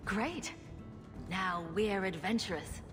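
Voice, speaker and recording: A sarcastic female adventurer from a fantasy game, voiced by a woman. She speaks at a middle pitch with a dry, sardonic edge. Music is audible behind her. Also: slow pace, lightly acted. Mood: wry.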